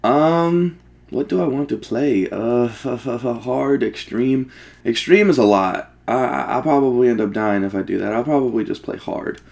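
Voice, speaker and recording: A man giving casual, rambling, profanity-laced gaming commentary with excitable fan talk. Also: mid-range voice, normal speed, gravelly. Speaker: let's play host.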